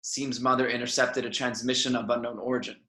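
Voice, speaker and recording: Guy reading a spaceship captain, bright forward voice, echoey room, table read. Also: mid-range voice, flat delivery, fairly quick, lightly acted. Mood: matter-of-fact. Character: male captain of a commercial spaceship briefing crew. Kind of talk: table read of a film script.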